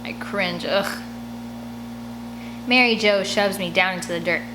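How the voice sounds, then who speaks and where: A woman's voice: medium pitch, a little slow, background noise. A woman reading a first-person story aloud expressively, as an audiobook narrator. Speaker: audiobook reader.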